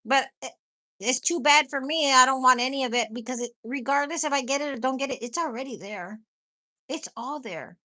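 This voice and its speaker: A woman with a stuffy nose talks loosely to her live chat, hopping from thought to thought and dropping the odd swear. Her voice sits a little high and rings bright and forceful. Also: a little fast. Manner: rambling, laughs often, swears casually. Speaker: livestream host chatting with viewers.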